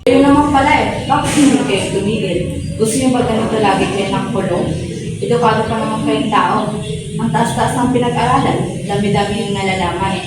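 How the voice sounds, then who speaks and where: A woman's voice: mid-range voice, fairly quick, close to an everyday voice. Film drama: plain-spoken challenging woman played by an actress, off-mic, heavy noise behind. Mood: blunt, challenging. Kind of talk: amateur film dramatization acting. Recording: very echoey, distant, loud noise.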